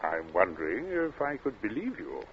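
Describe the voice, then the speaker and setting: An actor playing a cold, urbane captain in an old radio thriller, speaking in a suave, measured way with polite menace and small hesitant asides. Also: male voice, on the low side, sing-song. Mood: calmly calculating. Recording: dull, noisy vintage radio recording.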